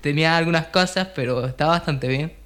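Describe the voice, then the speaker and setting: Slightly high-voiced Spanish male co-host. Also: a little fast, a bit bright. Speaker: radio show co-host. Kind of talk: casual radio chat with some scripted narration. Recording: faint music under the voice. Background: faint music.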